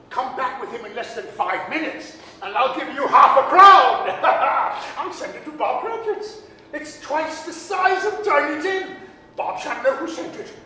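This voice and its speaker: A man's voice: very high voice, bright, forceful tone, heavily acted. A man playing a giddy, generous old miser, stammering theatrically in a 1930s radio drama reading. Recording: echoey hall, distant mic, hiss.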